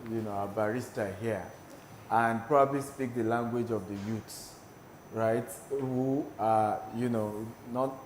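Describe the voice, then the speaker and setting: A man's voice: mid-range voice, a little mellow. A man hosting a TV talk show, teasing his guests and laughing often as he asks questions. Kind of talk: studio panel discussion. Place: TV studio with a live audience. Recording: roomy studio with audience noise.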